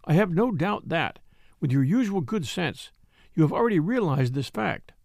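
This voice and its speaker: A man's voice: on the high side, very animated, moderate pace, gravelly, darkish tone, dry recording, clean studio sound. A man reading a storybook as a self-important married lady, with a formal, pompous manner of letter writing. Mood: pompous, condescending.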